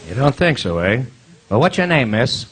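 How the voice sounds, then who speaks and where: Actor as a wisecracking, swooping comic quiz host. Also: male voice, medium pitch, big pitch swings, fairly bright tone, some chatter behind. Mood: wry, teasing. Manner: wry, needling, quick with asides. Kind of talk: comic stage recreation of 1950s radio quiz. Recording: live stage recording, noisy audience.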